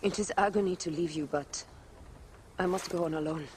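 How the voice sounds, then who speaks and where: A woman's voice: fairly low pitch, fairly quick, darkish tone, a little hiss or hum, close to an everyday voice. An actress playing an earnest, dutiful warrior woman of ancient Egypt in a video game, intense in manner. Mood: sorrowful resolve. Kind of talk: dramatic video game acting.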